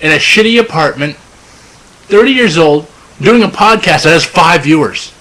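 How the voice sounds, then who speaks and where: A man co-hosts a casual podcast and needles his friends with mocking jokes. His voice is a little high and very animated in its pitch. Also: moderate pace, low-quality recording. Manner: teasing, mocking. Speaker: podcast co-host.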